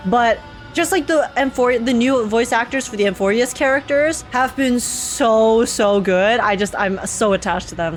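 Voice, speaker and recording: A young woman streaming a game, reacting casually and excitedly with giggly, slangy enthusiasm. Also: medium pitch.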